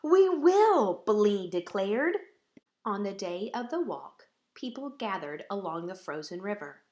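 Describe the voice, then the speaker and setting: A woman reading a children's picture book aloud as a storyteller, with measured, deliberate and dramatic phrasing. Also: mid-range voice, animated.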